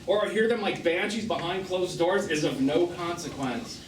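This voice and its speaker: A man's voice: sits high, moderate pace, natural voice. Echoey, distant actor as wounded middle-aged man. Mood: indignant. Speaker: stage actor. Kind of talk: live stage drama acting. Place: small theatre, recorded from a distance. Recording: echoey, noisy stage performance recorded from afar.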